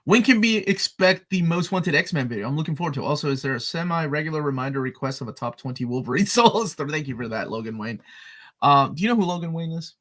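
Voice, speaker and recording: A man's voice: fairly high pitch, fast talker. A man co-hosting a live comics talk stream, reading chat questions aloud and chatting casually. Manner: animated, reads chat questions aloud, laughs often. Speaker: co-host of a comics show.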